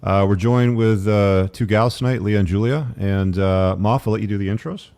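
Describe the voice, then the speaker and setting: A man's voice: lowish voice, normal speed, dark tone, smooth. A man hosting a live-stream talk show, casually asking his guests questions. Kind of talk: casual interviewing.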